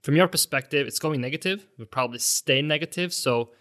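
Bright-voiced man asking interview questions. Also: fairly high pitch. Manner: halting, repeats words while framing questions. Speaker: podcast host.

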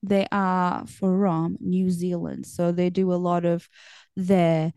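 Smooth-voiced woman chatting. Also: lowish voice, flat delivery, takes it slowly, dark tone, no echo, studio quality. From Portugal. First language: Portuguese. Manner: chatty, meandering, lots of fillers. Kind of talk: casual chat.

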